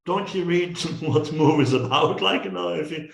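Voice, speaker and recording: Middle-aged man, Dutch accent, headset on a video call, halting speech, echoey room. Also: fairly high pitch, vocal fry. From Netherlands. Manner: halting, many restarts and repeated words. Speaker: guest. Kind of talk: casual chat. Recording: roomy echo.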